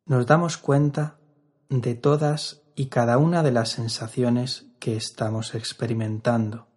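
A man leading a guided meditation as an instructor, calm and measured, with long pauses between phrases. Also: mid-range voice, breathy edge.

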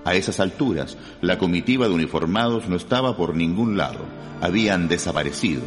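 A low-voiced man narrates a true-crime radio drama. His delivery is grave and suspenseful, wrapped in long reverb with music playing clearly behind him. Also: expressive, a little fast.

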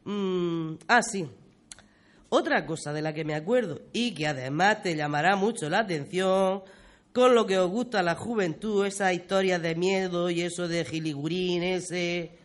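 A performer playing a talkative, fond old grandmother, reminiscing in folksy, expressive style in a community radio play. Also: mid-range voice, measured pace, lightly acted. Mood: chatty, storytelling. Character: talkative, fond old village grandmother telling local history.

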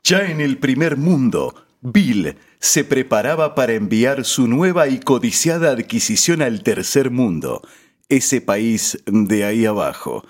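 A man's voice: medium pitch, sing-song, unhurried. A man playing a grandiose, mock-solemn narrator of a parody radio drama.

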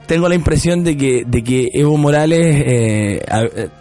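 A man's voice: mid-range voice, animated, moderate pace, dark tone, faint music behind. A man with a Chilean accent, co-hosting a casual talk show and speaking in a thoughtful, hesitant way with many restarts. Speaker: radio show co-host. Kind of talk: casual discussion.

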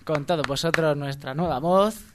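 A man's voice: a little high, normal speed, a crowd in the background. A man co-hosting a radio show with casual, ironic comic banter. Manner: joking, ironic.

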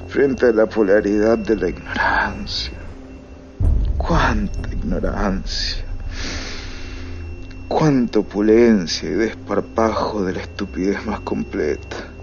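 An actor playing a weary lone man wandering the apocalypse in a radio drama, with a breathy voice and slow, poetic, theatrical delivery. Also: mid-range voice, darkish tone, a little hiss or hum. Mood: scornful. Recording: dull lo-fi recording under music. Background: music.

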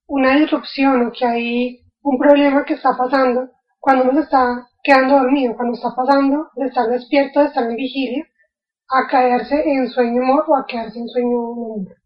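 A woman's voice: high-pitched, dull audio. A woman explaining a topic in an informal video lecture, thinking aloud with fillers and restarts. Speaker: educator.

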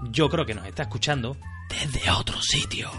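A man's voice: medium pitch, normal speed, music in the background. A man with an accent from Spain, co-hosting a podcast in colloquial, playful scripted dialogue. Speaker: podcast co-host.

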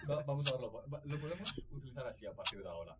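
A man's voice: medium pitch, expressive, rapid-fire, noisy background. A man co-hosting a quiz in casual group banter. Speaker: co-host of a quiz. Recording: loud chatter of people around.

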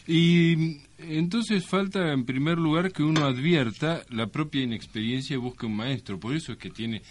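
A man's voice: a little high, normal speed, slight background noise. A man with an Argentine accent hosting a radio show, bantering in a playful, mock-formal way. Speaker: radio host. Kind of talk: comic banter with a character guest.

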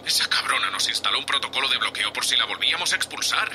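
A testy spaceship captain acted by a man from a Spanish sci-fi audio drama, with a Spain accent. He is curt, scolding and exasperated, with a mid-pitched, very forceful and sharp delivery. There is light ambient noise around him. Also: animated, fast talker. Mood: alarmed, frustrated. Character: gruff, foul-mouthed, irritable male spaceship captain.

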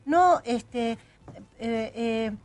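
A woman with an Argentine accent hosts a studio interview. Her voice sits slightly high, and she asks probing questions with thoughtful pauses. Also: a little mellow. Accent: Argentine Spanish. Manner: probing, thoughtful, hesitant fillers. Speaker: host.

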